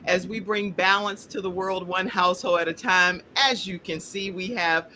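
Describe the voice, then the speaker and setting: A woman hosting a talk show over a video call, animated and playful, laughing often. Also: fairly low pitch, normal speed, slight background noise.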